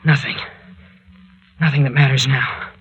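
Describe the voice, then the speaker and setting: An actor with an American accent playing an anxious, loyal young sidekick, earnest and excitable in 1940s radio drama style. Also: male voice, mid-range voice, measured pace, husky, highs cut off. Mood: alarmed, reassuring. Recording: vintage 1940s radio recording.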